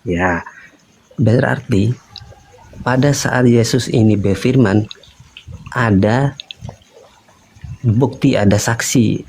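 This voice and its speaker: A man of middle pitch speaks over a voice call with a faint hiss of noise behind him. He talks haltingly, restarting phrases and tagging questions to check for agreement. His tone is patient and probing. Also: gravelly, a little mellow, low-quality recording. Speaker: caller questioning a guest. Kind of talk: live call-in debate.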